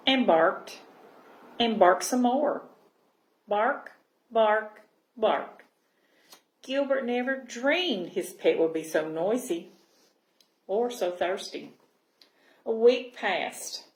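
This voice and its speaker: Female children's storyteller, unhurried and expressive, mid-range voice in a reverberant room. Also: mid-range voice. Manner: expressive, pausing to check understanding with listeners. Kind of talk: storybook read-aloud for young children. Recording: roomy, echoing sound.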